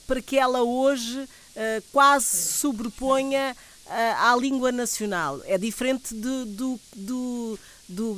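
A woman moderating a panel discussion, putting questions to the guests in a conversational, somewhat halting way. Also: on the high side, fairly bright tone.

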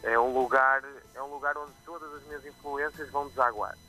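Bright-voiced Porto musician. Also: male voice, mid-range voice, fairly quick, soft background music, poor audio. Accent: Porto Portuguese with some Brazilian expressions. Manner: relaxed, chatty, with frequent fillers and restarts. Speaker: musician guest. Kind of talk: radio interview. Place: remote from his own location, on live radio.

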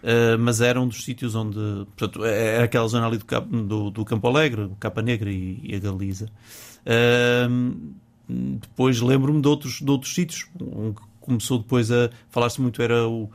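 Male radio guest from Porto, northern Portuguese accent, medium pitch, hesitant and chatty, studio mic. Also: fairly even intonation, moderate pace. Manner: chatty, hesitant, repeats words, laughs easily. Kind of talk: casual interview chat. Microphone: studio microphone.

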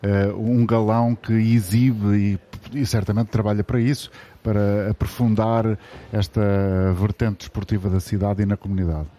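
A male radio host with a European Portuguese accent and a low, mellow voice. He steers a live sports discussion in long, formal, measured sentences, and his tone stays soft and relaxed.

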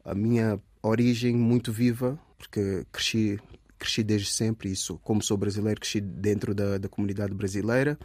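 A man's voice: fairly low pitch, flat delivery, moderate pace, a little mellow, no echo. A young man from Minas Gerais who speaks a mix of Brazilian and European Portuguese. He is a dancer telling his story in a casual radio interview, rambling and full of fillers. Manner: rambling, hesitant, many fillers. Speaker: guest, dancer.

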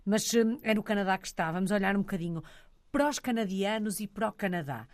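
A woman interviewing a guest, speaking in a measured way as she steers the conversation. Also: mid-range voice, expressive, no echo, clean studio sound. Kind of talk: interviewer, radio-style questions.

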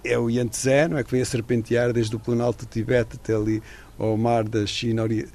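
A man's voice: mid-range voice, flat delivery, brisk, dark tone. A middle-aged man, an author and former correspondent, talking in a radio interview in a thoughtful, digressive way with many restarts.

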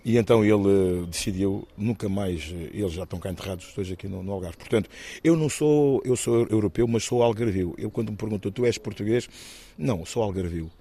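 A man in his fifties from Guinea-Bissau speaks quickly but haltingly, circling back over his words with fillers and restarts. His voice sits low and mellow. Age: 50s. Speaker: interviewee, actor and musician. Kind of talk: reflective personal interview.

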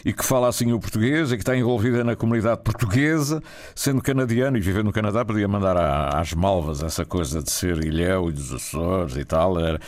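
A man's voice: deep voice, quick pace, a little mellow. A man interviewing a guest in an informal, conversational way, often prompting with tag questions.

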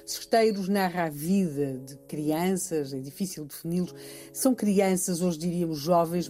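A woman's voice: fairly low pitch, mellow. A woman with a European Portuguese accent presenting a radio documentary, explaining emphatically and repeating phrases for effect.

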